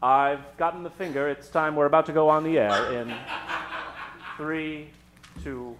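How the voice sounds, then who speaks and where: A man hosting and narrating an old-time radio spoof on stage, with a theatrical, mock-ominous delivery full of dramatic pauses. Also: on the high side, steady tone, fairly bright tone, a little hiss or hum. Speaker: host and narrator of a radio show. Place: theater stage before an audience. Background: live keyboard music.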